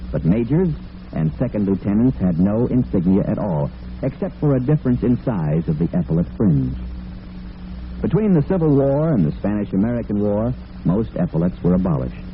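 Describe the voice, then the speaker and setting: A man working as a radio announcer, reading scripted narration formally in a mid-century broadcast style. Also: lowish voice. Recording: tinny, noisy vintage radio broadcast.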